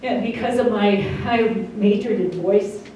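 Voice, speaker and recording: A middle-aged woman giving a personal testimony, speaking slowly and haltingly with carefully over-articulated consonants. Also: medium pitch, dark tone. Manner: slow and halting, many pauses. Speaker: interviewee. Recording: roomy, distant mic, faint hiss.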